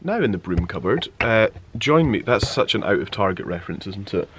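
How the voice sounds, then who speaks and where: A man's voice: big pitch swings, brisk, fairly bright tone, breathy edge. A man in his twenties, a radio presenter, chatting casually to camera with wry, understated asides. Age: 20s. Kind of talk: casual vlog chat to camera. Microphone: handheld vlog camera. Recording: noisy handheld camera audio, background rumble.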